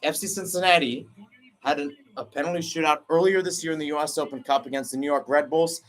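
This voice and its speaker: A young man doing excited play-by-play commentary of a soccer penalty shootout, with his pitch and volume leaping at each kick. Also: quick pace, poor audio. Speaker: radio play-by-play announcer. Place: at home, not at the stadium.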